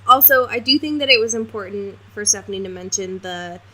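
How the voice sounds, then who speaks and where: A woman's voice: medium pitch, brisk, bright, forceful tone, low-quality recording. A woman co-hosting a podcast, casually discussing a book in a wry, informal way. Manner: wry, informal, peppered with mild swearing. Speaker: podcast co-host.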